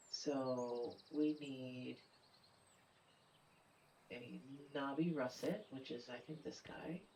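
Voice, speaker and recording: A woman with a stuffy, blocked-up nose talks her way through a game, thinking out loud and now and then sinking into a low mutter. Her voice has a gravelly, fry-tinged edge. The mic sits a little away from her, with faint birdsong from the game behind her. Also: distant mic, slight background noise. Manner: thinks aloud, muttering and half-whispering while working things out. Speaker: gameplay streamer. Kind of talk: casual let's play commentary.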